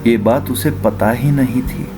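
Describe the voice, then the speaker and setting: A man's voice: mid-range voice, measured pace. A man narrating a scripted horror folk tale as a storyteller. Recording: prominent music under the voice. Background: music.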